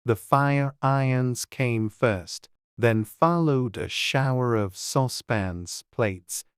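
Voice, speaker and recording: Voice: mid-range voice, takes it slowly, clean tone, no echo, clean studio sound. An audiobook narrator reading a dramatized storybook.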